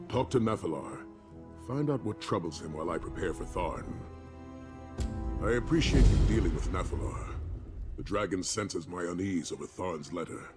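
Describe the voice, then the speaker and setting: An actor playing a gruff, weary but loyal veteran warrior in a video game, talking in a measured, dry, formal way. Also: male voice, sits low, lightly acted. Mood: guarded, uneasy, then exasperated. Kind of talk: video game character dialogue. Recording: music clearly under the voice.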